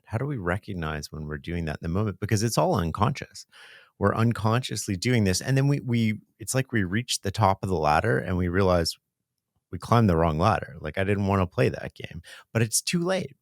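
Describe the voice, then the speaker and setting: Deep, gravelly podcast host, earnest. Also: male voice, no room sound, studio quality. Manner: earnest, probing, restates ideas. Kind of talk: interview questions.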